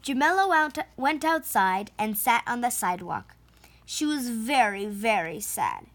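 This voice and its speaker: Female storyteller, fairly high voice, very unhurried, emphatic children's read-aloud. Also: sing-song. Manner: slow, deliberate, with emphatic phrasing. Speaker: storyteller reading a picture book aloud.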